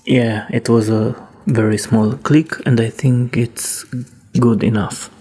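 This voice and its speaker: A man narrating a hands-on repair in a casual, halting way, thinking aloud as he works. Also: medium pitch, fairly even intonation, mellow, breathy edge. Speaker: host demonstrating a cassette repair.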